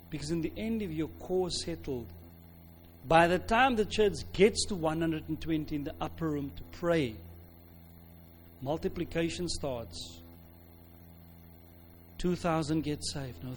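A man's voice: a little high, slight background noise. A man with a South African accent giving an informal sermon, speaking emphatically and conversationally, often repeating phrases for weight. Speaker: pastor, preacher.

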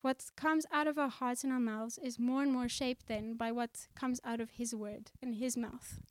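A woman from South Africa preaching a sermon in the Netherlands. She speaks earnestly and conversationally, slowing down when she reads scripture aloud. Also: fairly high pitch, steady tone, dry recording. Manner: conversational, with fillers and restarts.

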